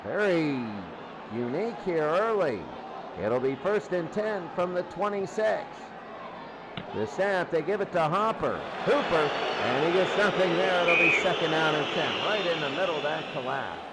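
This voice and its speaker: High-voiced male football play-by-play announcer, noisy recording. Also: lively intonation, unhurried. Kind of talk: live American football play-by-play commentary.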